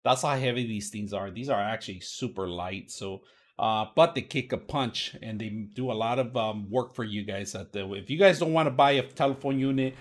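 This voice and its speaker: A man's voice: lowish voice, normal speed. A middle-aged man casually reviewing a tech gadget, chatty and repetitive, recorded in a small home studio on a desk mic. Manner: chatty, repeats himself, animated emphasis.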